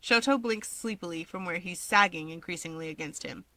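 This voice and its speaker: A woman's voice: lowish voice, a little fast, sharp tone, dry recording. A woman reading a scripted story aloud as narrator, putting on voices for the characters. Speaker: fan fiction reader.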